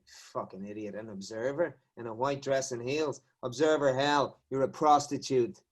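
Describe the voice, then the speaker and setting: A man's voice: mid-range voice, normal speed, natural voice. An actor playing a brutal, foul-mouthed riot policeman who sneers and barks orders. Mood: cruel taunting. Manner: crude, sneering, barking orders. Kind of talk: satirical audio drama.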